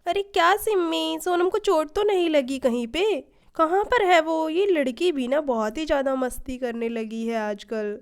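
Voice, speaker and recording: Lady doing naggy annoyed mom, really high voice, kids cartoon story. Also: moderate pace. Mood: worried scolding. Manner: scolding, nagging. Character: exasperated, scolding young mother of a schoolgirl.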